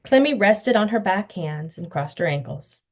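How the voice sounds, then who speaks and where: A woman's voice: medium pitch, moderate pace. A woman author reading her children's novel aloud, telling the story expressively. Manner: expressive storytelling, acting out the dialogue.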